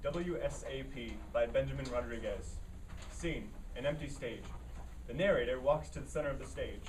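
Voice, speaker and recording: A man's voice: mid-range voice, moderate pace, close to an everyday voice. A man playing the matter-of-fact narrator of a comic play, reading scripted stage directions. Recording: distant mic, faint room noise.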